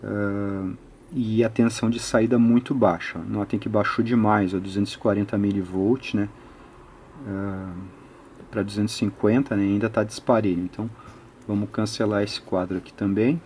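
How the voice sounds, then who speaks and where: A man's voice: fairly low pitch, steady tone, moderate pace, a little mellow, slight background noise. A Brazilian man giving an unscripted technical tutorial, methodically thinking aloud and often adding short tag questions. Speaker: electronics hobbyist presenter.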